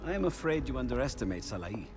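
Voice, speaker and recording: Middle-aged Renaissance inventor, animated intonation, self-deprecating and polite, video game drama. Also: male voice, medium pitch, quick pace, faint music behind. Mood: resigned. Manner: courteous, expressive, self-deprecating. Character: eccentric aging Renaissance inventor and painter, excitable scholar.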